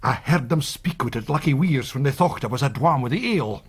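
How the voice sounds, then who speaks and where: Crafty scottish village schemer, broad and wry, raspy, radio play. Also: male voice, medium pitch, animated, brisk. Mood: sly, matter-of-fact. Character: canny, worldly Scottish villager, sly, bold schemer. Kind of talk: period radio drama acting.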